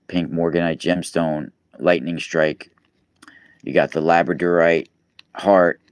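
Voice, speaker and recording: Very deep-voiced man. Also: no room sound, studio quality. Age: 40s. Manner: rambling, with many fillers and restarts. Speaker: podcast host and radio guest. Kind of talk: casual live-stream chat. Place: East Coast, New York.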